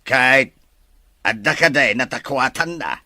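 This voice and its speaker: A man playing an animated, meddlesome father with a rough voice in a family melodrama. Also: mid-range voice, unhurried, no room sound. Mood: concerned. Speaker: actor. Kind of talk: melodrama dialogue.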